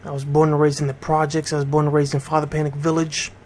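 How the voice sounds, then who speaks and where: Middle aged american guy, radio dj host, voice a bit on the high side, just talking straight to the listeners. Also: monotone, quick pace, a little mellow, a little hiss or hum. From Bridgeport, Connecticut, United States. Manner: conversational, addresses listeners directly. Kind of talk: informal radio monologue.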